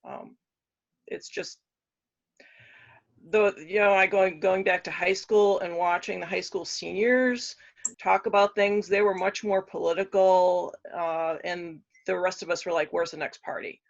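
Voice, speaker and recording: A man's voice: sits high, fairly even intonation, a little slow, creaky. A man in his late fifties giving his opinion conversationally as a panel guest, on dull audio. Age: late 50s.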